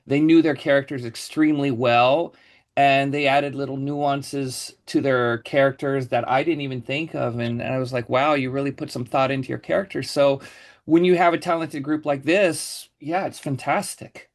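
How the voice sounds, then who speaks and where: A man's voice: medium pitch, steady tone, normal speed. A man, the film's writer, earnestly praising his cast in an interview. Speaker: screenwriter. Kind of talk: interview answer.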